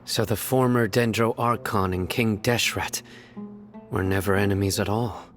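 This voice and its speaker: Stern enforcer male actor, game dialogue: lowish, terse, composed and deadpan, faint music underneath. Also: normal speed, close to an everyday voice. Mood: pensive.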